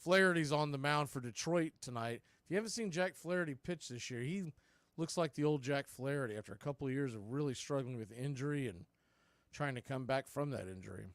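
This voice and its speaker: Male baseball announcer, mid-range voice, clipped pitch calls and chatty asides, close dry sound. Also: brisk, no echo. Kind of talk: live sports play-by-play commentary with scoreboard asides.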